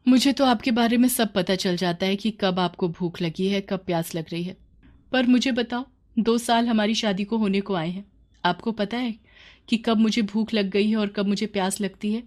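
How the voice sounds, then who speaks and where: A woman's voice: mid-range voice, normal speed, a little mellow, lightly acted. A woman playing a hardworking, fed-up young village wife in a cartoon moral story, speaking in a reproachful, plaintive, emphatic way. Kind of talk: animated moral story dialogue.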